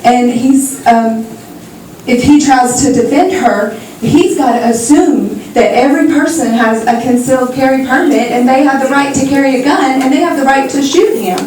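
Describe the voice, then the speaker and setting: A middle-aged woman making halting, exasperated, unscripted personal remarks at a public meeting. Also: high voice, darkish tone. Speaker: audience member speaking at a public meeting. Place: meeting hall, public town-hall event. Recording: very echoey hall, distant mic, faint noise.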